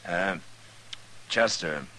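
An actor playing a weary, steady American frontier marshal in a 1950s radio western, dry, measured and understated. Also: male voice, sits low, slight background noise, exaggerated voice, rough recording. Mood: dry, easygoing. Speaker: lead character and narrator.

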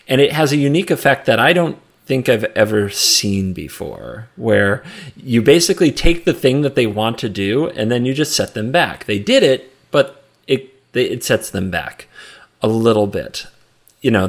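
A man co-hosting a casual discussion show about a card game, talking in a wry, joking way. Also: on the low side. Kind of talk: casual chat and game commentary.

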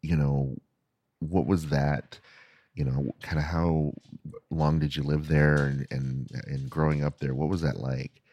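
A man hosting a podcast interview, reading a scripted opening and then chatting casually in an animated way with quick tangents. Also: extremely low pitch, dry recording, dull audio. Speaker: podcast host.

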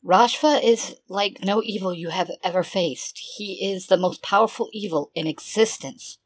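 A man voicing a remorseful female mentor figure who speaks softly and pleadingly, as if near tears. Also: high-pitched, moderate pace, voice pushed up. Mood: grave. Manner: soft and pleading. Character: remorseful female mentor being, tearful and defensive.

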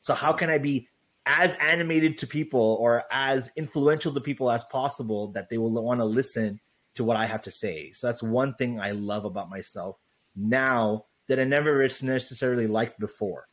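A young man guest in a casual interview, talking loosely with lots of "like" and "right?", with a loud voice. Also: mid-range voice, normal speed. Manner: loose, rambling, heavy on "like" and "right?".

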